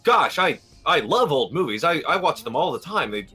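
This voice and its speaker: A man's voice: on the high side, very animated, moderate pace, music in the background. A man co-hosting a livestream, chatting casually and rambling, now and then slipping into put-on accents. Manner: rambling, playfully dropping into put-on British and Irish accents. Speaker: livestream co-host. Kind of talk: casual chat with impressions.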